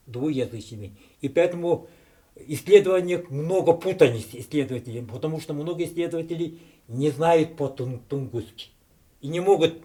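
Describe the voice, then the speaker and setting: A middle-aged man giving a university history lecture, halting and correcting himself often, stressing key terms. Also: a little high, a little mellow. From Yakutia. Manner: halting, self-correcting with frequent filler sounds.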